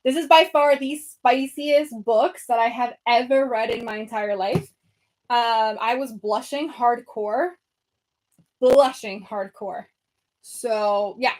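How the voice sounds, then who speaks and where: A woman's voice: fairly high pitch, takes it slowly, a little mellow, rough recording. Chatty young woman with a Venezuelan accent. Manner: chatty, rambling, lots of fillers. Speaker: book vlogger. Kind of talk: casual chat with live viewers. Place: home room by bookshelves.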